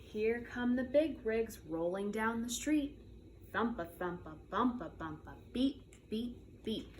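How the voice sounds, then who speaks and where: A woman reads a children's story like a preschool teacher, with big swings in pitch and an unhurried pace. She is playful and expressive, lingering on sound words, with faint noise behind her. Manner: animated, playful, drawn-out sound words and repetitions. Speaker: preschool teacher reading aloud. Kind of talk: expressive children's picture book read-aloud.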